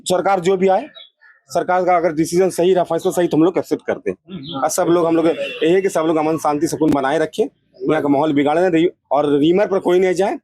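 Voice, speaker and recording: A man gives his opinion as an interviewed local resident, talking quickly in a soft-edged, mellow voice. He is earnest and repeats his points for emphasis, and the sound is dry and close. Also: fairly high pitch, steady tone, no room sound. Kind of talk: street interview, opinion statement.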